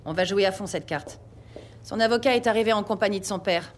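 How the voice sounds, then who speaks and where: Casting: woman playing authoritative police boss, mid-pitched, formal and commanding, audio series with faint ambience. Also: brisk, a little hiss or hum, lightly acted. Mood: decisive. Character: authoritative female police chief, formal and shrewd. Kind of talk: dramatic audio series acting.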